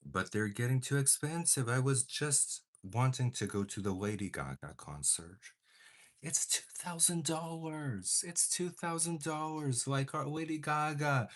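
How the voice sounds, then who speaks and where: A young man whose first language is Portuguese chats casually while doing his makeup, with dramatic bursts of mock outrage. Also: on the high side. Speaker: beauty vlogger.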